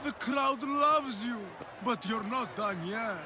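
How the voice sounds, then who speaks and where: An actor playing a grandiose ancient Greek arena announcer in a video game, projecting ceremonially to a stadium. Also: male voice, extremely high pitch, slow, noisy background. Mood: showy, rousing.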